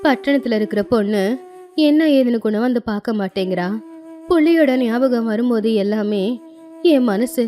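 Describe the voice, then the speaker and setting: A woman's voice: a little high, moderate pace, warm tone, lightly acted. A woman voicing a lonely, grieving old widowed grandmother in a storybook tale, plaintive and reproachful. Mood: sorrowful reproach.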